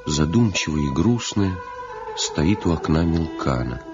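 Low slow guy narrating an old radio play, music behind, muffled sound. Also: animated. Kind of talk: scripted dramatic narration.

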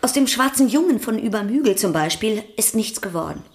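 An actress in a German radio play playing a strict, reproachful, sharp-tongued lady of the house. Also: fairly high pitch, expressive, brisk. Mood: scornful. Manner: prim, reproachful.

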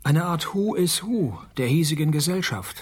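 A man's voice: on the high side, normal speed, soft background music, natural voice. An actor playing a wry, laconic male private detective who narrates his case and trades dry lines in a radio play. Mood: sardonic, confident. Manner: laconic, sardonic, deadpan.

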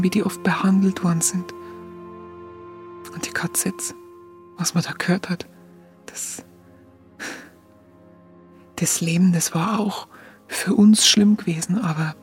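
A woman's voice: fairly low pitch, darkish tone. An actress playing a curious, smitten young woman photographer in a radio play, chatty and colloquial with a Bavarian accent. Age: young adult. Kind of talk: radio play narration and dialogue.

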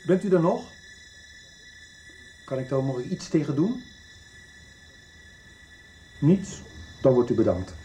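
A worried middle-aged father in a radio soap. He is earnest and probing, with a mid-pitched man's voice. There is faint noise on the recording. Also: sing-song, slow, pauses often, dark tone. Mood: indignant. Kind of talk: 1990s radio soap acting. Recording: slightly noisy radio drama recording.

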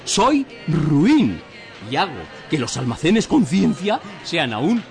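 A stubborn, contrarian blind man in a surreal radio drama. He speaks with theatrical courtesy and irony in a fairly high, highly animated voice with a Castilian accent, over clear music. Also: takes it slowly, heavily acted. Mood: defiant. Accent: Castilian Spanish. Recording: music under the dialogue.

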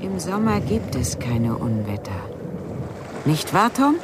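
An actress in a German radio play playing a dreamy, unhinged woman who speaks in a slow, coaxing, wistful way. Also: sits low, sing-song, heavily acted. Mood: pleading, wistful. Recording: loud wind, water and boat noise. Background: sea wind and rowing boat sounds.

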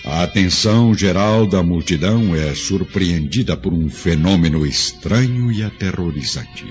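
Deep dramatic narrator. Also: male voice, measured pace. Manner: dramatic, emphatic. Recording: dull lo-fi sound with music. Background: music.

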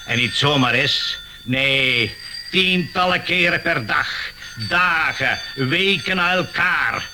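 Proud, touchy hobby inventor, unhurried and emphatic, comic radio drama, noticeably noisy vintage recording. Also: male voice, fairly high pitch. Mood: proud, eager. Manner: cooing over pets, self-important, emphatic explaining. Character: obsessive male canary breeder, proud amateur inventor, easily exasperated. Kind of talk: comic radio play acting. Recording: noisy early-1980s radio play recording.

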